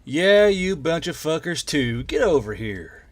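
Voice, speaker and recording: A man's voice: a little high, sing-song, unhurried, no echo. A man voicing a boisterous, foul-mouthed platoon commander in a fantasy war storybook, rowdy with his troops. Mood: happy shouting. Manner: shouting, rowdy, swearing.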